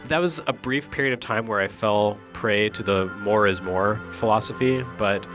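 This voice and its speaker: A man's voice: lowish voice, moderate pace. A man giving casual, joking commentary on video game cutscenes in a wry manner, as a critic and co-host. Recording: loud music behind the voice. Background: video game music.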